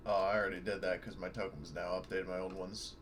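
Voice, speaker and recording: A man's voice: low-pitched, flat delivery, fast. A man chatting casually as a player in a tabletop game, sometimes slipping into clipped military talk.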